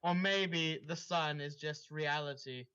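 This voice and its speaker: Bright-voiced man reading aloud, dull recording. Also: a little high, slow, clear, resonant tone. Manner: breaks off into chatty asides. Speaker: story reader. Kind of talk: reading fan fiction aloud, with casual asides.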